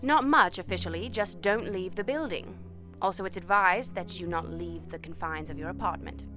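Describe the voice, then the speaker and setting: An actress playing a businesslike quarantine official in an audio drama, measured and evasive in her delivery. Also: fairly low pitch, music in the background, lightly acted. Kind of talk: naturalistic audio drama acting.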